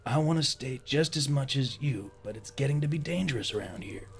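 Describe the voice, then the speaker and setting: Man acting a folksy, mid-pitched drifter leader. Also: lively intonation, a little fast, slightly rough, faint music behind. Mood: uneasy, explaining. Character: friendly, cautious leader of a homeless band, Jerry. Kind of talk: audio drama acting.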